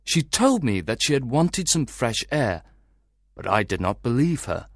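Actor as a worried husband, very animated storyteller. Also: male voice, medium pitch, unhurried, no echo, clean studio sound. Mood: uneasy, recounting. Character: worried, suspicious husband recounting his troubling story to a detective. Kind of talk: dramatised audiobook narration.

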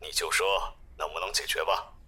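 A smug male general manager in an audio drama, low and husky. He speaks with a piercing, forceful edge, condescending and insinuating as he leans on his subordinate. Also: steady tone, fairly quick, exaggerated voice. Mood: pushy, smug. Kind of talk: Chinese audio drama acting.

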